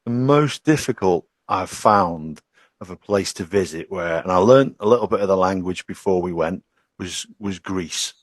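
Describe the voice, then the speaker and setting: Low-voiced man telling travel stories. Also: moderate pace, no echo, studio quality. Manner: rambling, self-deprecating anecdotes with many restarts. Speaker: podcast guest. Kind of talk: casual travel storytelling.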